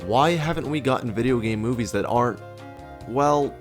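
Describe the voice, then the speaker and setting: A man's voice: mid-range voice, normal speed, music in the background. Sarcastic man narrating a video essay. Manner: sarcastic, rhetorical questions answered by himself. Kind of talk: scripted narration in a casual, conversational video essay.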